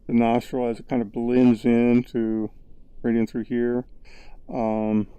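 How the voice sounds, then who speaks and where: A man narrating his VR sculpting session in a relaxed, rambling way, thinking aloud with lots of fillers, recorded on a headset's built-in mic. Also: medium pitch, smooth, no room sound. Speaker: VR sculpting creator. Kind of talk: casual tutorial narration.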